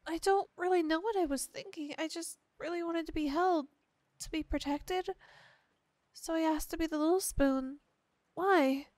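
A woman acts out a young yandere girlfriend in an ASMR roleplay, with an extremely high, girlish voice. She speaks slowly and hesitantly, gushing with clingy, insecure devotion. The sound is dry and close, without room echo. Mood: soft, cuddly. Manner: gushing, hesitant, breaking off and restarting.

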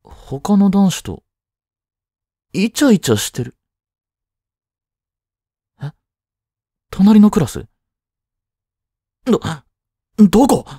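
A man playing a blunt high school boy in a voice drama, a jealous childhood friend who speaks casually and gets flustered. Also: sing-song, very slow, long pauses, mellow, husky, dry recording, studio quality, exaggerated voice. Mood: jealous, irritated. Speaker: voice drama actor. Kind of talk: Japanese audio drama acting for women listeners.

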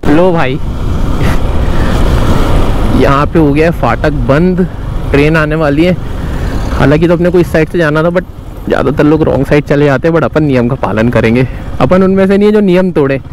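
A man's voice: on the high side, normal speed, pauses often, a little mellow, low-quality recording. A young man giving casual, rambling commentary to viewers while riding a motorcycle outdoors. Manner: chatty, rambling, friendly asides to viewers. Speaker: motovlogger. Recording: wind and traffic noise outdoors.

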